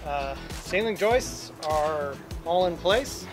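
A man in his thirties casually explaining his camper renovation while he works, with a relaxed, filler-heavy way of talking. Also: a little high, slow, music in the background. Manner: relaxed, chatty, many "uh" fillers. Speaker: DIY camper renovation vlogger. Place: backyard outdoors. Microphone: handheld camera close up.

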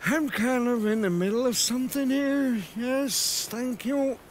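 An actor playing a gloomy, world-weary sheep in a cartoon, grumbling reluctantly in halting phrases. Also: male voice, very high voice, slight background noise. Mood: irritated.

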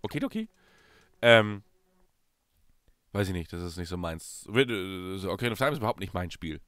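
A young man hosts a let's play in casual, chatty commentary. His voice is still hoarse from a recent cold. His pitch is lively and swings widely, from sudden loud outbursts down to quiet mumbling. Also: normal speed, no echo, faint music behind. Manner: animated, swings from loud bursts to low mutters.

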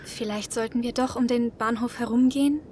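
A cautious young survivor, acted by a woman in an audio drama. Her high voice rings in a reverberant hall with clear noise around it. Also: steady tone, brisk, darkish tone, close to an everyday voice.

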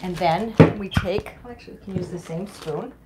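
A woman's voice: fairly low pitch, a little fast, heavy background noise. A middle-aged woman giving a casual live cooking demonstration as chef and host, in an enthusiastic, exclaiming manner. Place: home kitchen, indoors. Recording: clattery kitchen, dishes and clicks.

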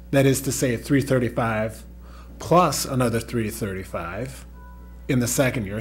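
Man, district official, careful explainer at a meeting, faint hum in the room. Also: mid-range voice. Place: school board meeting room.

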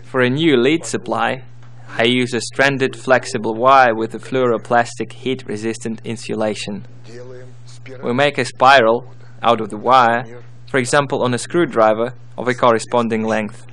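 Male tutorial narrator, mid-pitched, reading scripted instructional material. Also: steady tone, moderate pace, fairly bright tone.

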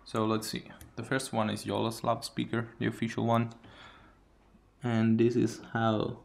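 A man giving casual hands-on commentary as a tech hobbyist, in a halting way with pauses between phrases. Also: medium pitch, monotone, creaky. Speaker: tech hobbyist demonstrating a phone mod. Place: indoors, at a wooden table.

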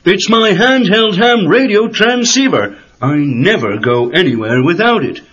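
An actor playing a brisk, imperious middle-aged businessman father in a dramatized comic-book story. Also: high voice, lively intonation, a bit bright, husky, full character voice. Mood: businesslike. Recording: muffled cassette with music behind.

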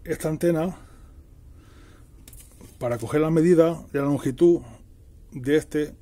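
Middle-aged man, very dark mellow voice. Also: on the high side, unhurried. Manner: explanatory, repeats and rephrases while working. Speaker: DIY tutorial host. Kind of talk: casual hands-on tutorial narration.

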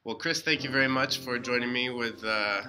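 A young man hosting a casual interview, speaking in halting, hesitant phrases. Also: mid-range voice, fairly even intonation, bright, forceful tone, rough recording. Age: young adult. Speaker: interview host, playwright. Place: indoors, small hall with cinder-block walls.

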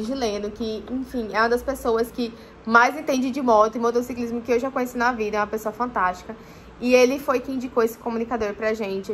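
A young woman talks casually to the camera from her living room, chatty and loose with her fillers. Her voice sits high. A faint hiss runs behind her. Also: fairly bright tone. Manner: chatty, often says "gente", many fillers. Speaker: motorcycling vlogger.

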